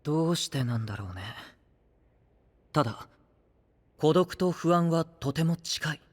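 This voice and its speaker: An actor playing a gentle, idealistic young knight who leads an alliance, speaking softly and earnestly in anime audio drama style. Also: male voice, a little high, expressive. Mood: pensive. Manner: soft-spoken, earnest, measured.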